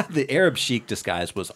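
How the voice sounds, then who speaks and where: A man's voice: mid-range voice, sing-song, measured pace, fairly bright tone. A man co-hosting a casual movie podcast, recapping the plot with frequent laughs and restarts. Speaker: podcast co-host.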